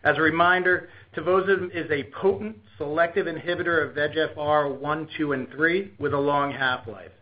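A man's voice: fairly high pitch, fairly even intonation, fairly quick, hardly any pauses. A man giving a formal scripted business presentation as a company executive on an earnings call. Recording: dull, lo-fi conference call audio.

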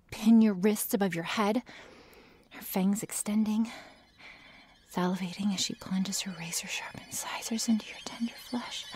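A woman playing a prickly, teasing vampire girl who feigns indifference, speaking softly in an intimate ASMR roleplay. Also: medium pitch, dry recording, lightly acted. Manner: sardonic, hesitant, feigning indifference.

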